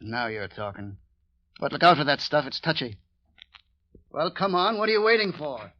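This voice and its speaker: A man's voice: fairly high pitch, sing-song, moderate pace, no room sound. An actor playing a cold, bullying gangster henchman, threatening in a clipped tough-guy delivery. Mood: menacing. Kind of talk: 1940s radio acting. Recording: dull old radio recording.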